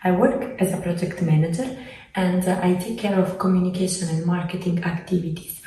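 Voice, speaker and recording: A calm project manager with a low, dark, mellow voice. She sounds far off in a very echoey room, with soft clicks. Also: a little fast. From Naples, Italy. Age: 20s. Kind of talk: recorded self-introduction. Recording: very echoey room, distant mic.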